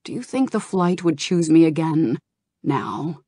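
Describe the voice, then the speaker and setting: A woman reading an audiobook, voicing a troubled young male dragon leader who speaks earnestly and gravely. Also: sits low, big pitch swings, unhurried, warm tone, no echo, heavily acted. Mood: somber.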